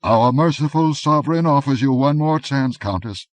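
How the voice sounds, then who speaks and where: A man voicing an arrogant young nobleman who gives commands and turns uneasy, speaking haughtily and loudly. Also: medium pitch, moderate pace, no room sound, pitched above the performer's own voice, lightly acted. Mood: haughty. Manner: haughty, commanding, rising to alarm.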